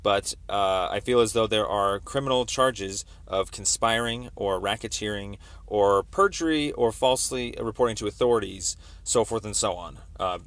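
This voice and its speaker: Male caller, lowish pitch, wordy and halting, laying out a complaint over the phone. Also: fairly bright tone, no echo. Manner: halting, wordy, roundabout explanations. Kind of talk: phone conversation, explaining a complaint. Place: telephone call. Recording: recorded phone call.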